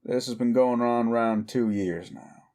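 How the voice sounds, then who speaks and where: Guy acting a cowboy outlaw werewolf, real slow talker, brooding and goading. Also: medium pitch, a little mellow, lightly acted. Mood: cocky, mocking. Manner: slow, deliberate, goading, rhetorical questions. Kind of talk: intimate roleplay audio drama acting.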